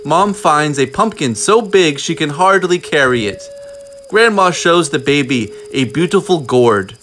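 A man reading a book aloud in a measured, deliberate way, pausing often between phrases. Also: fairly high pitch, animated, fairly bright tone, a little hiss or hum. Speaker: storybook reader. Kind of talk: children's book read-aloud, scripted narration.

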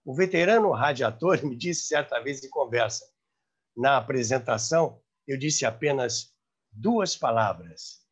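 Husky, animated older Brazilian man. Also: medium pitch, measured pace. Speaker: host. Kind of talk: storytelling about radio history, to camera. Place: room by a large window, indoors. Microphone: lapel mic.

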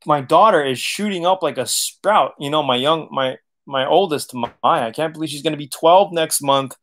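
A man in his late thirties hosting a casual livestream Q&A from his living room, talking loosely, laughing often and swearing now and then. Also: medium pitch. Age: 30s. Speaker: auto body channel host. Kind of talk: casual livestream Q&A reading chat. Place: living room, seated on leather couch.

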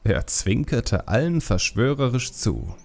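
A man narrating a fantasy audiobook in an expressive, descriptive storytelling style. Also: mid-range voice, a little slow, gravelly, soft background music.

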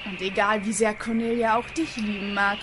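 A woman's voice: medium pitch, steady tone, moderate pace, lightly acted. A woman playing a scheming, haughty villain king in an audio drama, theatrical and menacing. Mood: gloating, menacing. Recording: clear background noise.

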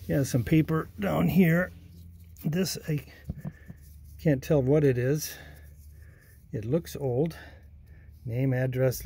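A man giving a casual, unhurried walkaround of an old car as he films it with a handheld phone, pausing often with ums and uhs. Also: medium pitch, slight background noise. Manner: unhurried, plain-spoken, many ums and uhs, trails off quietly. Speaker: vintage car restoration vlogger. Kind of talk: casual walkaround narration behind a handheld camera. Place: old car in a garage and driveway. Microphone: phone camera held in hand.

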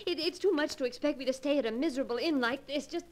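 An actress playing an anxious, pampered wife in 1940s radio melodrama style, fretful and pleading. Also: female voice, sits high, fast talker, close to an everyday voice.

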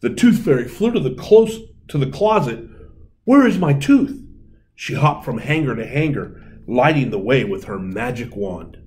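A middle-aged man reading a children's story aloud in an expressive, deliberate way. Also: mid-range voice. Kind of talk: storybook read-aloud, bilingual. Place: indoor room, seated at a table.

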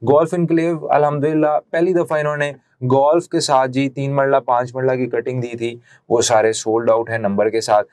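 A man's voice: mid-range voice, flat delivery, moderate pace, darkish tone, dry recording. A man in his thirties with a Pakistani accent presents a promotional real estate video as a consultant, explaining things like a salesman into a lapel mic.

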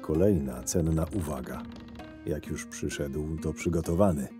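A man playing a curt, irritable convict guard who narrates his own story in a sardonic, gruff way in an audio drama. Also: a bass voice, a little slow, music in the background. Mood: weary, sardonic. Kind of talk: first-person audio drama narration with dialogue.

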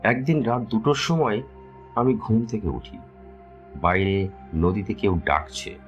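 A man telling a scripted horror story as a dramatic narrator, with measured, suspenseful delivery. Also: lowish voice, lo-fi sound. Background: music.

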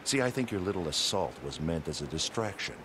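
An actor playing a cold, taunting military commander in a video game, speaking with measured authority. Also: male voice, deep voice, sing-song, noisy background. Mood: cold menace. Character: cold menacing military commander interrogating a prisoner. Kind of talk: dramatic video game acting.

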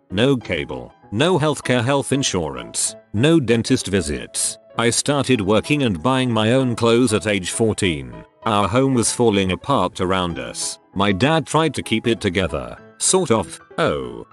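Man, low voice, lively intonation, scripted narration, soft music underneath. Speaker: narrator reading forum posts.

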